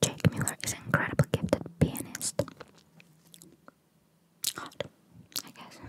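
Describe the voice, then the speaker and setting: A woman whispering ASMR-style as she reads out trivia and adds informal, musing asides. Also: low-quality recording. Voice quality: soft, unvoiced whisper. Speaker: ASMR content creator. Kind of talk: whispered ASMR reading of trivia with casual commentary.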